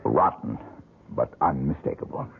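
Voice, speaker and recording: An actor playing a bitter, weary painter in a vintage radio drama, with a sardonic way of talking. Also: male voice, a bass voice, expressive, normal speed, vocal fry, slightly rough, exaggerated voice. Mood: bitter, guarded. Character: bitter, weary male painter, son of a dead actress. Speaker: painter character. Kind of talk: 1970s radio drama acting. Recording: tinny lo-fi old radio broadcast.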